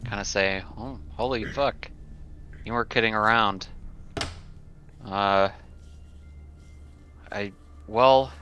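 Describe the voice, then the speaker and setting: A man playing a tabletop roleplaying game, halting and thinking aloud as he moves between table talk and casual in-character lines. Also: fairly low pitch, bright, forceful tone. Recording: dull sound, faint noise.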